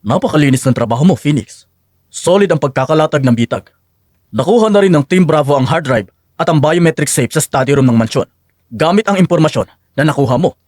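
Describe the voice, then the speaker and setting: A woman voicing a brisk, authoritative male agency director in a dramatic audio story, businesslike and approving. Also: deep voice, expressive, darkish tone, no room sound, clean studio sound, pitched below the performer's own voice. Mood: satisfied, businesslike.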